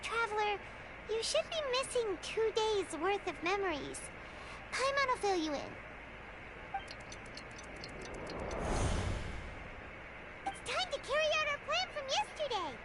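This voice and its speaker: A woman voicing a tiny, childlike, excitable fairy companion in a video game, squeaky and emphatic. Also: normal speed, background noise. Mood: earnest, determined. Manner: refers to self in third person, emphatic. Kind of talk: animated video game voice acting.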